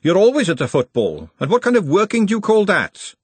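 A man voicing a brisk, no-nonsense young female cook with a blunt, scolding, bossy manner in a story reading. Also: on the high side, very animated, slightly rough, no room sound, pitched above the performer's own voice. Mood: snapping.

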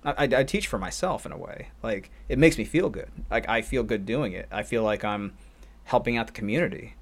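A man's voice: on the low side, animated, fairly quick, creaky. A man who assists as a martial arts instructor, musing on a podcast in a rambling, reflective way. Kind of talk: casual podcast chat.

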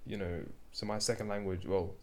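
A man's voice: on the low side, fairly even intonation, a little fast. A young man, a podcast guest chatting in a laid-back, slangy way. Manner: laid-back slangy chat, says "bro" and "innit". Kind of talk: casual chat.

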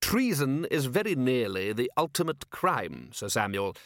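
A man voicing an unruffled aristocratic ruler, polite and measured, with dry mischief and feigned innocence, in an audiobook. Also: medium pitch, sing-song, fairly bright tone, no echo.

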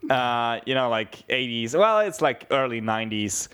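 A man's voice: medium pitch, expressive, moderate pace, fairly bright tone, clean tone. Young man, casual rambling talker, swears freely, lots of restarts. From Germany (southeast Bavaria). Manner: rambling, swears a lot, many fillers and restarts. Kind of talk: casual chat.

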